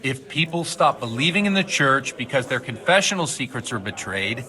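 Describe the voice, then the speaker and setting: An actor playing a worldly, kindly but principled middle-aged village priest in a video game, speaking in a measured, fatherly way. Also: mid-range voice, big pitch swings. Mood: earnest, reassuring. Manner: measured, fatherly, gently philosophical. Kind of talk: video game cutscene acting.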